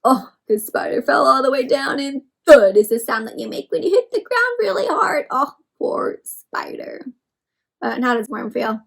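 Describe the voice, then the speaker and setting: A woman with a high voice reads a picture book aloud to young children. She speaks like an encouraging teacher, talking straight to her listeners, asking them questions and explaining words simply. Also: expressive, normal speed, creaky. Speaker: read-aloud storyteller for children.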